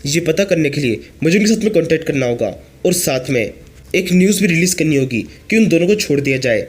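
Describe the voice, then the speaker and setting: A hoarse man reading the lines of an arrogant young prince who is shaken but scheming. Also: on the high side, expressive, fast. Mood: indignant.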